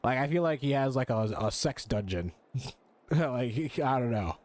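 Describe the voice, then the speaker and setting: A man's voice: mid-range voice, expressive, normal speed, darkish tone. A man giving casual, slangy, incredulous commentary as he streams a game, swearing freely. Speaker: streamer reacting to a game story.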